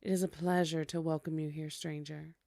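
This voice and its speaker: A woman's voice: low voice, little pitch movement, fairly quick, creaky, darkish tone, dry recording, studio quality. A woman voicing a flirtatious, teasing vampire in an audio roleplay, coy and seductive with mock-polite formality. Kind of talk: scripted ASMR audio roleplay acting.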